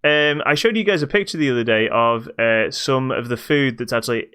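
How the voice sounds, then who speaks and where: A man's voice: mid-range voice, brisk, fairly bright tone, clear, resonant tone. A man co-hosting a podcast, casually describing and judging games with an opinionated storytelling manner. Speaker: podcast co-host. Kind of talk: casual chat, game review.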